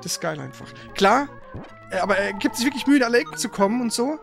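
A man's voice: extremely high pitch, expressive, quick pace, vocal fry, fairly bright tone. A man chatting casually and ramblingly as he co-hosts a live game stream. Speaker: streamer and co-host. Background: game music.

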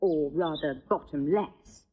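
A woman acting a young woman who dryly chides her cousin in a stage drama, with a breathy voice. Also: sits low, takes it slowly, phone-quality sound, heavily acted. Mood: chiding. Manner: dry, chiding.

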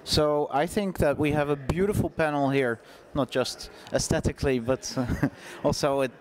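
A man's voice: a little high, fairly even intonation, moderate pace. A man, informally moderating a panel on a conference stage. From Netherlands. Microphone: gooseneck microphone at seat.

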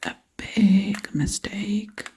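A woman doing ASMR storytelling in a hushed, breathy whisper, lingering on words and repeating them. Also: medium pitch, very slow, a little hiss or hum. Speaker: ASMR creator.

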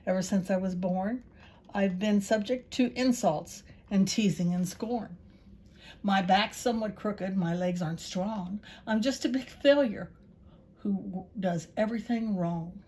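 A woman's voice: mid-range voice, a little slow, warm tone, natural voice. A woman voicing a small, timid male donkey who pleads in a frightened, self-pitying way in a storybook reading. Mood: pleading.

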